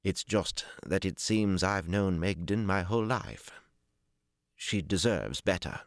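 A man's voice: sits low, lively intonation, takes it slowly, vocal fry, no echo, studio quality, voice pushed up, exaggerated voice. A man voicing a stout, older, anxious male servant in an audiobook, deferential, stiff and hesitant in his speech. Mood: stiffly dignified. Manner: stiff, hesitant, flustered, stammering. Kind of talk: audiobook character dialogue.

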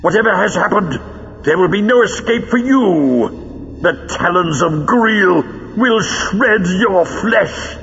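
A man voicing a deformed, dying villain in an audiobook, snarling and screaming vengeful threats in a strained, croaking voice. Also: high voice, lively intonation, slow pace, a bit bright, in a large hall, faint music behind, pitched above the performer's own voice, full character voice. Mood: menacing. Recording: dull lo-fi audio with noise.

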